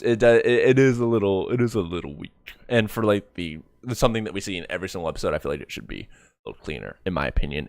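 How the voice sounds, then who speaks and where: Lively low-voiced man rambling through a reaction. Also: no echo, clean studio sound. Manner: rambling, trailing self-corrections. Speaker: streamer reviewing an animated comic. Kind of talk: casual reaction commentary.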